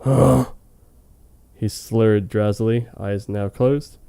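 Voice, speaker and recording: A man's voice: lowish voice, steady tone, slow, vocal fry, mellow, clear, resonant tone. A man reading fan fiction on a comedy show in a hammy, mock-dramatic way, with casual, amused asides. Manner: hammy, amused, breaking off to comment.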